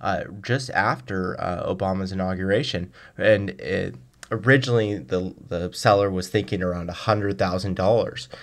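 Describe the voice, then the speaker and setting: A man with a deep, low-pitched voice speaks as a guest on a radio interview. He answers questions in a conversational style, explaining his subject as he goes. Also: normal speed, vocal fry. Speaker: guest, car blog writer.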